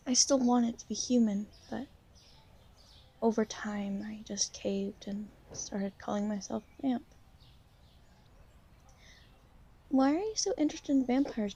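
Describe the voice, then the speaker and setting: Girl acting as a shy insecure vampire lady, asmr roleplay, mellow n a bit creaky, hesitant and gentle. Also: on the high side, highs cut off, full character voice. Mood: subdued, hesitant. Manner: hesitant, soft-spoken, gently halting. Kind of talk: ASMR roleplay, soft intimate talk to the listener.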